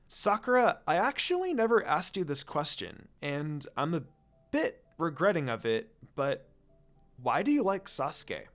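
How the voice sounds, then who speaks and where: A man's voice: a little high, wildly swooping pitch, unhurried, fairly bright tone, full character voice. A man voicing an outspoken, caring teenage girl in a story reading, direct and earnest. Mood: insistent. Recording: music under the voice.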